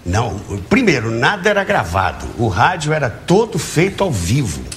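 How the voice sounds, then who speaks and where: Animated older Brazilian man, noisy recording. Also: mid-range voice, moderate pace. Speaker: talk show guest, radio and TV educator. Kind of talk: interview, reminiscing.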